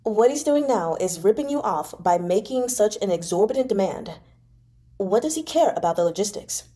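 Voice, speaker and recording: A woman voicing a composed, calculating male adviser in a novel read-aloud, measured, reasoning and coolly persuasive. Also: medium pitch, warm tone, close to an everyday voice.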